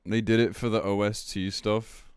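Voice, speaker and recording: A man's voice: on the low side, little pitch movement, normal speed, clear, resonant tone, no room sound. Blunt, sweary male streamer, close dry sound. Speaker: game streamer commenting on news. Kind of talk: casual livestream rant reading and reacting to a post.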